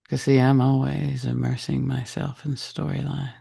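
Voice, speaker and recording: A man leading a guided meditation in a soft, breathy, husky voice, speaking deliberately in short phrases with long pauses. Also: medium pitch, little pitch movement. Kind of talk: guided meditation, slow soothing narration.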